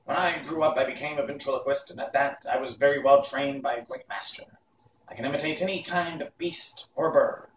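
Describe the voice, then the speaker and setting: A man's voice: medium pitch, fairly quick, roomy sound, far from the mic, slight background noise, natural voice, rough recording. A man voicing a little old humbug wizard, chatty and apologetic, in a storybook reading. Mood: reminiscing.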